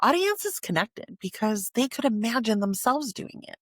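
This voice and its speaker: A woman hosting a podcast, reading scripted documentary narration with measured, dramatic phrasing and pauses for emphasis. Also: fairly high pitch, big pitch swings, no room sound, clean studio sound. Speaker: podcast host.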